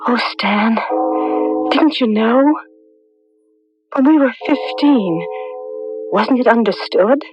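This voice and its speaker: Bitter jilted young woman in an old radio play, slow and brooding, dull recording. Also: on the high side, loud music behind the voice. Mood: anguished, brooding. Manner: theatrical, brooding. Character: scorned young woman, bitter and intense, drawn to witchcraft. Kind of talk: old-time radio drama acting.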